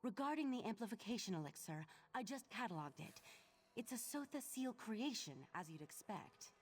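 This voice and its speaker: An actress playing a matter-of-fact archivist of a mage order in a video game, conversational and dryly wry. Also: female voice, a little high, big pitch swings, moderate pace, slight background noise. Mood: wry, businesslike. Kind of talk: video game character acting.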